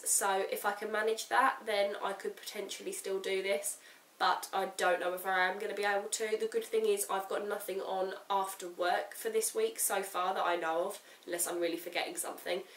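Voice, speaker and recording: Woman, croaky with a cold, casual rambling vlogger; roomy, off-mic sound. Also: medium pitch, fairly bright tone, recorded from a distance. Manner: rambling, talks straight to the camera. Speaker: writing vlogger. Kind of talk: casual chatty vlog update. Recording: roomy echo, off-mic, faint hiss.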